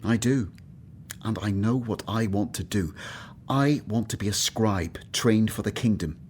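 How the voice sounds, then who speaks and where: A low-pitched, weary biblical disciple played by a male actor in a radio play. He is anxious and self-doubting, brooding earnestly over his words. Faint background ambience sits behind the voice. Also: a little slow, a little mellow, slight background noise, natural voice. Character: weary, anxious, grumbling former tax collector turned disciple.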